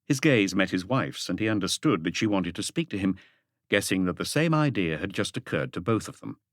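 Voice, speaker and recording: A man's voice: low voice, lively intonation, fairly quick, dry recording, studio quality. A man reading an audiobook as narrator, in a scripted literary storytelling style.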